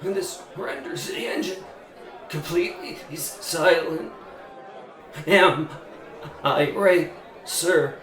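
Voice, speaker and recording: An earnest music-hall showman played by a man with a notably high voice. He speaks formally and precisely, as if on stage in an audio play. The sound is echoey and set back from the microphone. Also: slow pace, pauses often, a bit bright, some chatter behind. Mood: labored, earnest. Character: male music-hall memory act performer, formal, showy, earnest. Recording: echoey, distant from the mic.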